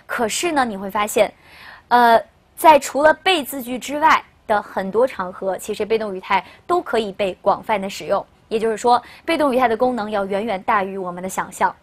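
A woman's voice: medium pitch, a little fast. A young woman teacher presenting a lesson to camera, explaining to learners and stressing key phrases. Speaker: English teacher. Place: indoor studio.

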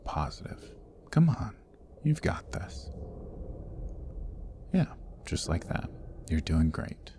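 A man's voice: very animated, slow pace, pauses often, warm tone, slight background noise, heavily acted. A man playing a sardonic jailed thief in an ASMR fantasy roleplay, wry and teasing, with whispered asides. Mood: reassuring. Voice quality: very deep, gravelly. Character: roguish, sardonic male thief jailed in a dungeon. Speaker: voice actor.

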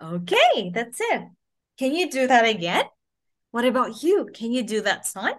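A young woman from the Philippines teaches a child over a video call. She speaks slowly and deliberately, her fairly high voice swooping up and down as she models each phrase. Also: big pitch swings, a bit bright. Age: 20s. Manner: slow, sing-song modelling of phrases for a young learner. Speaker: online English teacher.

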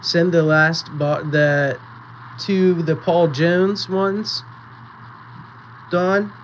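Slow-talking man running a live auction. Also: fairly high pitch, slight background noise. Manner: slow, relaxed, chatty, counting down bids. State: voice going raspy. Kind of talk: live-stream auction chat. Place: small indoor photo booth setup.

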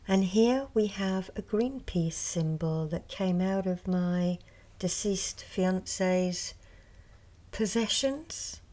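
A middle-aged woman, a reiki healer, speaking softly and slowly in a partly whispered show and tell, a little hoarse from a cold. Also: mid-range voice. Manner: slow, soft, partly whispered. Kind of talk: soft-spoken ASMR show and tell. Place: indoors at a table. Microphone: close mic over a tabletop.

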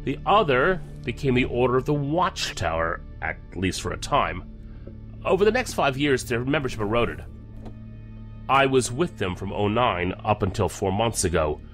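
A guarded, serious federal agent in a science fiction audio drama. His delivery is formal and measured, yet the pitch moves a lot. Faint music plays behind him. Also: big pitch swings, a bit bright. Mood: grave. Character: guarded, serious male federal agent back from deep cover.

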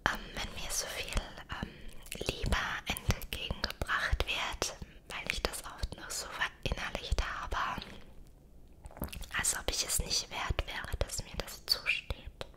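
A woman in her late twenties speaks in a soft, breathy whisper, chatting personally to viewers in a gentle, hesitant ASMR style, close to the microphones. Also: takes it slowly. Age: late 20s. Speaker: ASMR creator. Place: small indoor setup with dark backdrop. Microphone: two close microphones either side.

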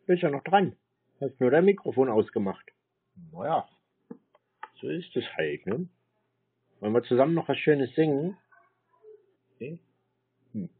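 A husky-voiced man chats casually on a prank call. His intonation is lively and he teases by acting slow. The sound is thin, like a phone. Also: medium pitch. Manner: teasing, feigned slow-wittedness. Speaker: scam-baiting call recipient. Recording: muffled narrow phone-like sound.

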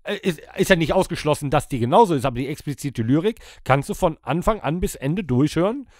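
A man with a fairly high voice talks casually on a podcast. He is blunt and jokey, and his intonation swings a lot. The sound is dry, with no room on it. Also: animated, fast, a little mellow, no room sound. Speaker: podcast co-host. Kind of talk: casual chat.

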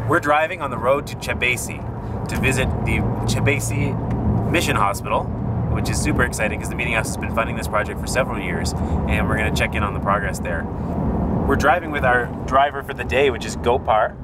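A young man with a mid-pitched voice talks in a friendly, upbeat way from the seat of a moving car. He holds the camera close. Loud engine and road noise roar under everything he says. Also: fairly quick. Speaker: team member on a learning trip. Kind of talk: casual vlog narration.

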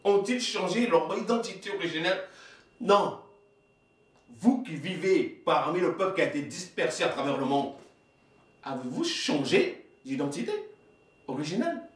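A fairly high-voiced man in his forties preaches with emphasis, asking rhetorical questions and correcting himself now and then. His voice rings through a small, echoey room. He sounds a little distant from the microphone. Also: big pitch swings, normal speed, long pauses. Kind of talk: sermon reading and explaining texts. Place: small indoor worship room.

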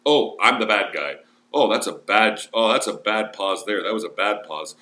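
Profane ranting man, bright and echoey. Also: lowish voice, expressive, normal speed. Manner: crude, cursing, grumbling. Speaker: livestream host. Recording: roomy echo with faint music.

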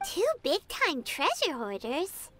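A woman voicing a tiny, childlike, excitable and easily frightened fairy companion in a video game, squeaky and exclamatory. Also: slow, soft background music, heavily acted. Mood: curious, chirpy. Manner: speaks of self in third person, exclamatory.

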